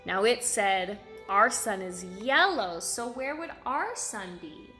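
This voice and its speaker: A woman's voice: mid-range voice, expressive, slow pace, pushed and bright, roomy sound, soft background music. A woman preschool teacher reading aloud to young children, encouraging them with simple repeated phrases and questions.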